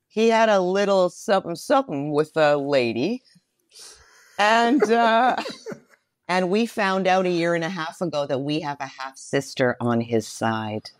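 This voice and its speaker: A woman's voice: fairly low pitch, expressive, slow, dry recording, studio quality. A middle-aged woman speaking as an interview guest, telling personal stories expressively, repeating phrases for emphasis. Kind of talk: personal storytelling in conversation.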